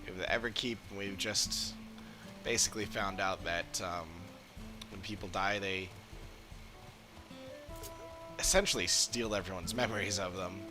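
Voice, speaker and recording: A man giving casual livestream commentary on a game, haltingly searching for words as he talks. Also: sits low, steady tone. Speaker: game streamer. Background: game music.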